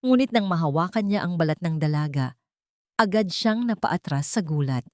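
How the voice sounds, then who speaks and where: A woman's voice: fairly low pitch, animated, normal speed, darkish tone, no echo, clean studio sound. A woman narrating a dramatic audiobook story from a script.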